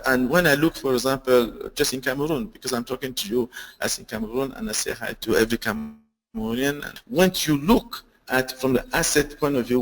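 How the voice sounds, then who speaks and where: Guy presenting a webinar, slightly high voice, lots of uh uh and restarts. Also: low-quality recording. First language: French. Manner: earnest, with repeated fillers, restarts and rhetorical questions. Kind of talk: webinar presentation on security standards.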